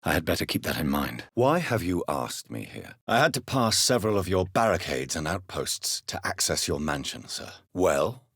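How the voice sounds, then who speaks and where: A brooding vampire doctor for a video game. He is a gentleman with a very low, husky voice that moves expressively. His speech is formal, grave and carefully articulated. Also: sing-song, normal speed, few pauses, no echo, studio quality, full character voice. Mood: guarded, inquiring. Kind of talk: dramatic video game dialogue.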